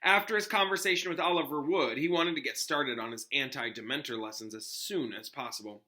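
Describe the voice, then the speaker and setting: A man's voice: medium pitch, a little fast, pushed and bright. A man, a teacher, reading a story aloud expressively with casual explanatory asides.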